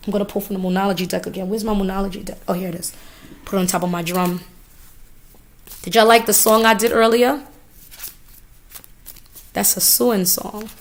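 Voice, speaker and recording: A hoarse woman giving a live oracle card reading, talking casually with swearing and repeated phrases. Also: medium pitch. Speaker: spiritual reader.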